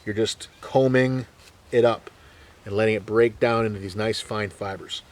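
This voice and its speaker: A man giving a relaxed, joking bushcraft lesson, explaining each step in plain words as he demonstrates. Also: on the low side, normal speed, distant mic. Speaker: bushcraft instructor. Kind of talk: hands-on outdoor skills demonstration.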